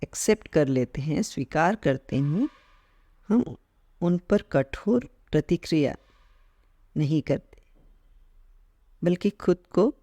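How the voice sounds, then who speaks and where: A man leading a guided meditation as an instructor, speaking calmly in short measured phrases with gentle pauses. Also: on the high side, no room sound. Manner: mixes English words into Hindi, repeating terms in both.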